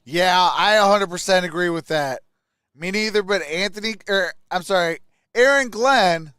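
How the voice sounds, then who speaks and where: A man's voice: high voice, normal speed, bright, forceful tone, smooth, no echo, studio quality. A man hosting a live sports stream, giving casual reactions and commentary on the play.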